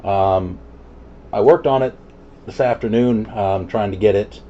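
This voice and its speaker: A man's voice: lowish voice, measured pace, mellow. Man on muffled CB radio. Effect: CB radio transmission. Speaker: CB radio operator. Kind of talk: casual chat over CB radio. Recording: muffled CB radio audio with static. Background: radio static.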